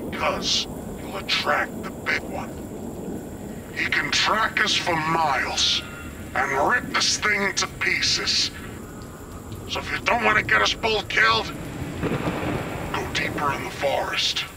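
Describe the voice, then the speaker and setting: An actor playing a commanding, grimly sardonic soldier in a horror film, terse and ominous. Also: male voice, sits high, slow pace, pauses often. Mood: stern warning. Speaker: actor in an animated horror short. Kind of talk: dramatic horror film dialogue. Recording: distant voice, clear vehicle noise.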